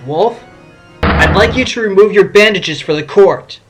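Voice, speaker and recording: A man's voice: fairly high pitch, fairly quick, over music, natural voice. A man playing an assured, wry fox defense lawyer, explaining things in an audio drama. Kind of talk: amateur audio drama acting.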